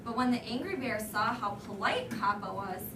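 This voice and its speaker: A woman's voice: medium pitch, expressive, normal speed. A woman teacher reading a storybook to young children, prompting them with questions and echoing their answers. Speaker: first grade teacher reading aloud. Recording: echoey room, distant mic, background noise.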